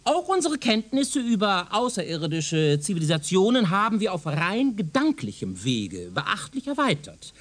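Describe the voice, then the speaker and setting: An actor playing a self-assured, pedantic professor from the future in a German radio drama, lecturing in a condescending way. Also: male voice, sits high, wildly swooping pitch, normal speed, few pauses, fairly bright tone, heavily acted. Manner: pedantic, didactic, rhetorical.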